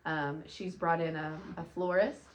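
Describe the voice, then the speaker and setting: Oklahoma woman, city co-host, soft lowish voice, scratchy throat, upbeat; distant mic in a roomy space. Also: steady tone, measured pace, a crowd in the background. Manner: softer voice, upbeat and enthusiastic. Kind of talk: casual live news chat. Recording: roomy sound, mic at a distance, faint background hum.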